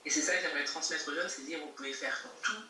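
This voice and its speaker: A woman's voice: sits low, quick pace, sharp tone. A young woman from Geneva, answering interview questions in a casual, emphatic way over a video call. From Geneva, Switzerland. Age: young adult. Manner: emphatic, repeats herself, laughs between points. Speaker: guest rapper. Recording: echoey distant call audio with faint noise.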